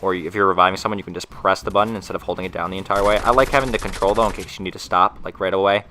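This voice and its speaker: A man's voice: low-pitched, steady tone, racing, sharp tone, slight background noise. A young man with a stuffy nose from a cold, talking loosely through a gaming tutorial voice-over with many fillers and restarts. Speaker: gaming YouTuber.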